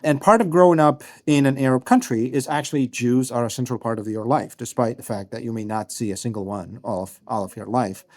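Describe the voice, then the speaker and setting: A man's voice: mid-range voice, fairly quick, few pauses, darkish tone. A man in his thirties from Egypt, a guest writer giving long, reflective interview answers with frequent restarts and fillers. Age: 30s. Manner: thoughtful, many restarts and fillers.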